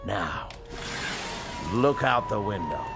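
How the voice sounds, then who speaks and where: An actor playing an educated, composed inventor in a radio drama, speaking in a deliberate, probing, theatrical way. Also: male voice, mid-range voice, wildly swooping pitch, creaky, exaggerated voice. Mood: coaxing. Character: educated modern time-machine inventor, moralising and composed. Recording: music under the voice.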